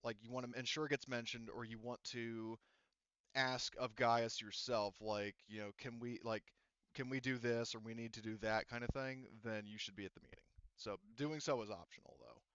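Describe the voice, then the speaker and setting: A man's voice: mid-range voice, fairly even intonation, normal speed, a bit bright, clear, resonant tone, no echo, muffled recording. A man running a tabletop roleplaying game as game master, rambling with many fillers and restarts.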